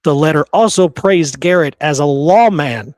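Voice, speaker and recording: A man's voice: on the high side, moderate pace, a little mellow, dry recording. A man co-hosting a history podcast, telling old stories in a casual, colloquial way. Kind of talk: casual conversational history storytelling.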